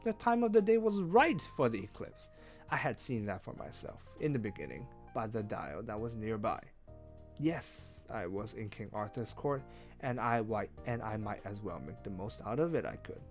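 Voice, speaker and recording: A man reading a story aloud as host of a read-along, with expressive narration and casual asides. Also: fairly low pitch, normal speed. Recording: music behind.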